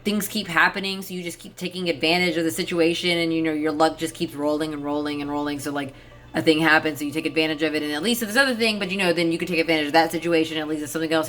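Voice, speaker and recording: A woman storyteller who reads fairy tales and comments on them in an informal, rambling, expressive way. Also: fairly low pitch. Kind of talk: storybook narration with casual chatty commentary. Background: soft ambient background music.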